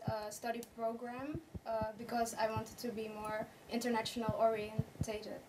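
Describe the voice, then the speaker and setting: A young woman raised in the Netherlands, a student answering interview questions with frequent hesitations. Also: a little high. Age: young adult. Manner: hesitant, many "uh" and "um". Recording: distant mic with background noise.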